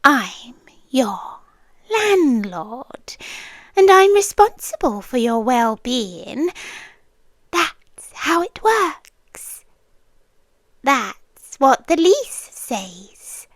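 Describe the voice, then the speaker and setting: A woman's voice: sits high, very animated, extremely slow, long pauses, fairly bright tone, no echo, heavily acted. A British woman playing a possessive, doting yandere landlady girlfriend in an ASMR roleplay, cooing intimately and slipping into whispers close to the mic. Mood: coaxing, controlling. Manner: cooing, breathy asides that drop into whispers. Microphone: close, dry microphone.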